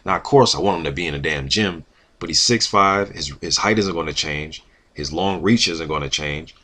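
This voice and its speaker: A man's voice: deep voice, normal speed, pushed and bright. A man giving casual, emphatic sports commentary as a vlog host, in informal slangy speech with some swearing. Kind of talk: casual opinion commentary, reading quotes aloud.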